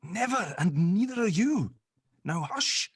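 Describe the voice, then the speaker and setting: A man's voice: high-pitched, sing-song, a little slow, slightly rough, dry recording, clean studio sound, full character voice. A man playing an earnest, exasperated amateur sleuth in a drama table read, hesitant in his explanations. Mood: exasperated, intent.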